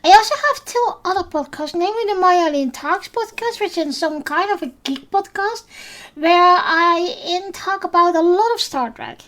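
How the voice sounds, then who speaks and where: A woman with a Dutch accent, a native Dutch speaker, hosting a solo podcast recap, unhurried and hesitant with frequent ums and restarts. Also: extremely high pitch, fairly bright tone. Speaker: podcast host.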